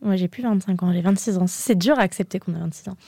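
A woman in her twenties, a podcast guest speaking casually and eagerly, often pausing on fillers. Her tone is mellow and warm at a middle pitch. The recording is close and dry. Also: husky, dry recording. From Andorra. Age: 20s. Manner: hesitant, many fillers, enthusiastic. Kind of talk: casual interview chat.

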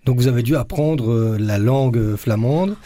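A man with a Belgian French accent hosting a radio interview, calm and courteous as he introduces his guests and asks questions. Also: mid-range voice, moderate pace, dry recording. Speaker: radio host.

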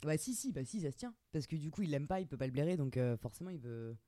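A woman's voice: deep voice, sing-song, quick pace, dark tone. A woman in a casual film chat who argues her point firmly and insistently. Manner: firm, insistent in disagreement.

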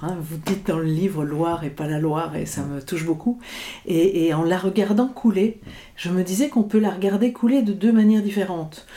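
Woman interviewer, warm low-key tone, thinks out loud w euhs. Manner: engaged, musing, with fillers. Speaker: radio interviewer. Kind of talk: interview conversation.

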